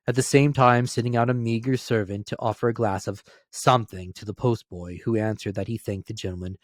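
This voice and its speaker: A man with a fairly low voice narrates an old storybook tale dramatically. The recording is close and dry, with very little room sound. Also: a little mellow, no room sound.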